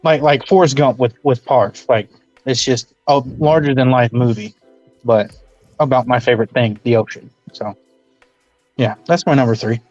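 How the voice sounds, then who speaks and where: A man in his twenties, co-hosting a podcast in casual chat, rambling with many pauses. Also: mid-range voice, fairly even intonation, a little mellow, no room sound. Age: 20s. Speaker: podcast co-host. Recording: dull dry lo-fi recording with faint music.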